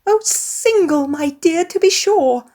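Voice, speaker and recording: A woman voicing a fussy, nagging middle-aged gentlewoman in a dramatised novel, exclamatory and insistent. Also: very high-pitched, expressive, slow pace, voice pushed up, exaggerated voice. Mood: delighted.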